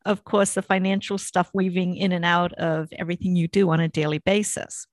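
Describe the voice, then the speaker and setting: A woman's voice: lowish voice, level tone, a little fast, no echo, studio quality. A woman hosting a casual local interview, asking questions and responding conversationally. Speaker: interviewer, host.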